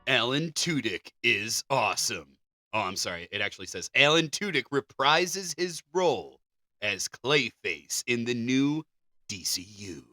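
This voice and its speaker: A man's voice: mid-range voice, big pitch swings, a little slow, sharp tone, no room sound, studio quality. A man co-hosting a podcast, chatting casually and reading out news items. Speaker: podcast co-host.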